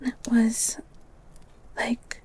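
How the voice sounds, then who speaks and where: A woman playing a shy, bookish young woman in a romantic audio roleplay, stammering and halting in a soft, breathy voice. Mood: dreamy, shy. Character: shy, flustered young bookish woman living in a beast's castle.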